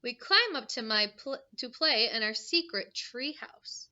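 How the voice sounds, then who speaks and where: A woman's voice: on the high side, expressive, measured pace, bright, forceful tone, dull audio. A woman teacher reading a picture book aloud to young children, adding simple explanations and questions in an instructive way.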